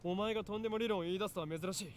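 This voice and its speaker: Actor as a theatrical, suspicious young mad scientist. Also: male voice, sits high, rapid-fire, slight background noise, natural voice. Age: young adult. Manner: theatrical, grave. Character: dramatic, suspicious young male self-styled mad scientist. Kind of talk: Japanese visual novel voice acting.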